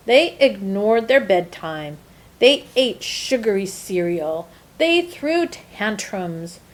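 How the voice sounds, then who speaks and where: Animated, slow-paced woman reading to children. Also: mid-range voice. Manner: animated, deliberate. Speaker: storytime reader, teacher-like host. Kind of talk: expressive picture-book read-aloud for children.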